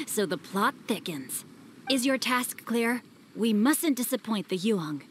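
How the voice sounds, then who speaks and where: A woman's voice: on the high side, lively intonation, slow pace, a little hiss or hum. A woman voicing a courteous, chivalrous teenage boy, a rich young master in a video game, polished and formal. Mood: amused, gracious. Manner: polished, formal, self-assured. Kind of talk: video game cutscene acting.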